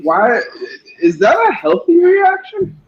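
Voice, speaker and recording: A man's voice: very high voice, wildly swooping pitch, slow, crowd noise. A man co-hosting a podcast over an online call, joking around playfully in casual chat. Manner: playful, jokey. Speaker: podcast co-host. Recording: dull lo-fi call audio.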